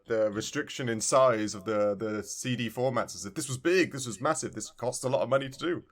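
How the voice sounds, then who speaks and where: A man's voice: mid-range voice, brisk. A man co-hosting a podcast, chatting casually about retro video games in a rambling, emphatically repetitive way. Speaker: podcast co-host.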